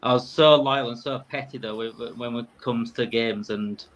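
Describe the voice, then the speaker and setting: A man's voice: mid-range voice, steady tone, moderate pace, fairly bright tone, muffled recording. A man in his thirties with a Yorkshire accent, talking casually as a guest in a conversation. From Yorkshire, England. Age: 30s. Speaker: podcast guest, fan podcaster.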